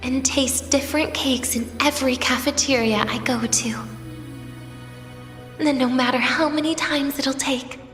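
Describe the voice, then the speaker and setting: Slow, echoing, wistful young woman. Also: high-pitched. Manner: soft, slow, lingering, at times breaking into whispers. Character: wistful young woman student, bitter yet tender, saying goodbye. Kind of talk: dramatic game voice acting. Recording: echoey long reverb with music behind.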